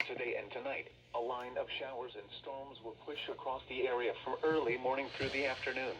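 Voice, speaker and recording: A man's voice: mid-range voice, level tone, a little fast, background noise. An automated male announcer reading a scripted weather bulletin, played through a radio speaker. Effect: radio speaker playback.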